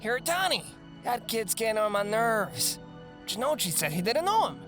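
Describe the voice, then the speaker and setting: A woman's voice: on the high side, very animated, moderate pace, a bit bright, soft background music. A woman playing a spiteful, sneering teenage gang punk who taunts and needles in an audio drama.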